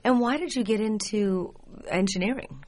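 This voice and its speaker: A middle-aged woman hosting an interview, asking questions and chatting casually about her own family with soft laughs. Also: mid-range voice, normal speed, dry recording. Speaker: interviewer host.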